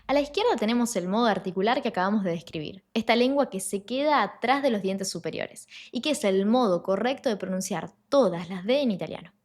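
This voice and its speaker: Woman teaching pronunciation clearly. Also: mid-range voice, animated. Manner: clear, explanatory, slows down to model sounds. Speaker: Italian language teacher. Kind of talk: online pronunciation lesson.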